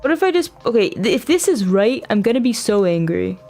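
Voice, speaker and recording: A woman's voice: on the high side, very animated, brisk, warm tone, soft background music. A woman giving casual live commentary as a game streamer, exasperated and prone to mild swearing.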